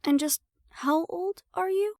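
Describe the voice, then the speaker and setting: A woman's voice: very high-pitched, measured pace, warm tone, dry recording, studio quality. A woman playing a sly, defiant half-banshee siren in an audio roleplay, sarcastic and playful as she mocks the listener. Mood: skeptical, teasing.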